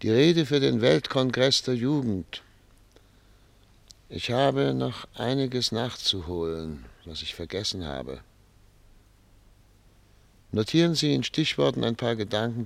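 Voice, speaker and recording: A man's voice: lowish voice, expressive, unhurried, creaky, pauses often, no echo. A man playing a troubled, grave statesman in a German radio drama, formal and brooding in his speech. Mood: decisive. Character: troubled, grave male statesman addressed as Excellency.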